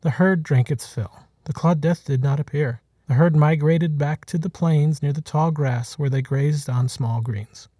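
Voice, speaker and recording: A man reading a short story as scripted narration, in a measured, dramatic manner. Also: on the high side, warm tone, dry recording. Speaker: author reading his own short story.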